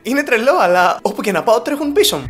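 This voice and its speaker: A man voicing a naive, eager, smitten young hero, earnest and bashful, in an animated film fan dub. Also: very high-pitched, full character voice. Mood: excited.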